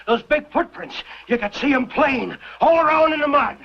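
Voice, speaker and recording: A man acting in an old-time radio western as an excitable, folksy prospector with a rural American accent. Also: very high-pitched, normal speed, vocal fry, full character voice. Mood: excited. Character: excitable, rustic Western prospector, wide-eyed. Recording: noisy, dull old radio recording.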